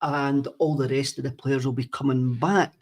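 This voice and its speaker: Male podcast host, mid-pitched gravelly voice, dry humour, casual chat. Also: steady tone, moderate pace, a little mellow.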